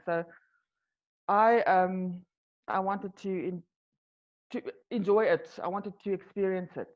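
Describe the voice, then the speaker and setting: A woman's voice: medium pitch, muffled recording. A woman from South Africa, an Afrikaans native speaker with a South African accent, answering interview questions in a casual, hesitant way with many ums and restarts. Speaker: wine tour company founder, guest.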